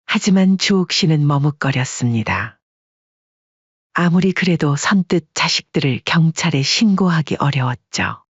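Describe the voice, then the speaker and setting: Story narrator, male, husky voice, mid pitch, dry close sound. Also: a little slow, no room sound. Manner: steady storytelling. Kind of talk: scripted audiobook narration. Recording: dry, dull lo-fi recording.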